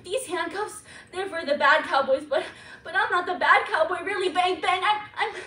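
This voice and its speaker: A frightened little girl who lisps through baby talk, giggling and whimpering by turns. The voice is high and bright. It is heard from a distance in an echoey room. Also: moderate pace. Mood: childlike. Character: frightened little girl persona named Alicia. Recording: echoey room, mic far off.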